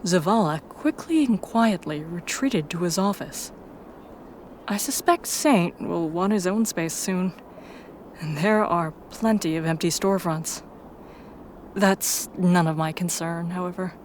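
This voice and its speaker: A woman's voice: fairly low pitch, a little slow. A woman reading scripted first-person story narration. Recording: faint background noise.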